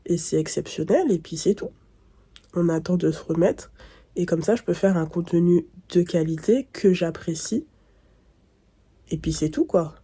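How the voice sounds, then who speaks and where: A congested young woman talks to her viewers, her voice nasal and husky from a blocked nose. She sits low in pitch with a mellow, dark tone and wanders through her thoughts with little restarts, the easy ramble of a casual vlog. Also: normal speed, pauses often. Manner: rambling, thinking aloud with restarts. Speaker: vlogger. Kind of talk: casual vlog talk to camera.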